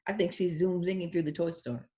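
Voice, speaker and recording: A woman reading a picture book aloud to young children in an expressive voice, pausing to chat casually about the illustrations. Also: on the low side. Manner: expressive, acts out sound words. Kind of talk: read-aloud storytelling with casual commentary.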